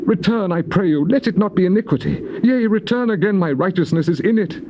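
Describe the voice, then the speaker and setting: A man's voice: sits high, animated, brisk. An actor playing an afflicted, despairing man who pleads bitterly in declamatory, archaic scripture drama. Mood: impassioned pleading. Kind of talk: dramatized scripture reading, stage-trained acting. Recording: noisy, muffled old cassette tape.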